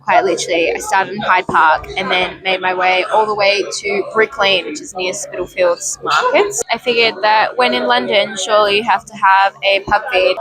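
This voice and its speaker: A woman's voice: medium pitch, poor audio. A young woman with an Australian accent, chatting casually to a travel vlog in a wry, rambling, giggly way. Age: young adult. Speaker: travel vlogger. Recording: music behind the voice.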